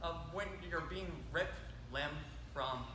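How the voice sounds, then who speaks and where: A man playing an excitable, rambling squad leader in an amateur stage comedy, recorded in a hall. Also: a little high, takes it slowly, noisy background. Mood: rambling, morbid. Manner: theatrical, rambling, sidetracked. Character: excitable young squad leader giving a morbid, rambling pep talk.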